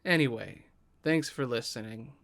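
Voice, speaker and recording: A man's voice: medium pitch, normal speed, vocal fry. A man hosting and narrating a scripted table read podcast.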